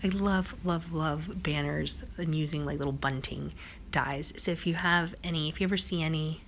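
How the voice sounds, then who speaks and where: Raspy, hoarse low-voiced woman. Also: fairly even intonation, normal speed, a little hiss or hum. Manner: chatty, bubbly, lots of "like" and "um". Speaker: crafting vlogger. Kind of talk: casual voice-over narration of a craft video.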